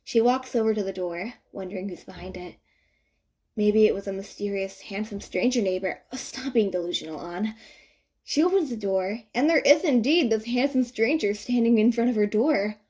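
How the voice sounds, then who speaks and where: A woman narrating a written story in an expressive, informal and wry way. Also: mid-range voice. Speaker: fan fiction narrator.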